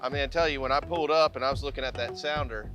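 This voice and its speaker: A man's voice: medium pitch, fairly even intonation, rapid-fire, over music. A man who runs a fishing boat, plain-spoken, joking in chat and coaching anglers on deck. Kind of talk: casual chat and on-deck instructions.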